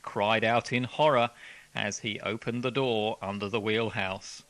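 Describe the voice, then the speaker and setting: A man's voice: fairly low pitch, steady tone, unhurried, a bit bright, low-quality recording. A man reading articles aloud as scripted narration, as a radio presenter.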